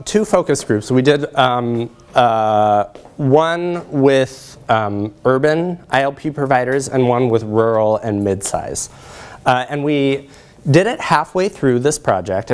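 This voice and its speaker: A man's voice: medium pitch, expressive, slow pace. A middle-aged man lecturing in a conversational way as he presents research and takes questions. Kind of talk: conversational academic talk with questions. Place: lecture room, indoors.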